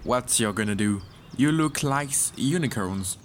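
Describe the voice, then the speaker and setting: A man's voice: mid-range voice, lively intonation, unhurried, slight background noise, lightly acted. A man playing a big, cynical, boastful monster in an amateur story dramatization.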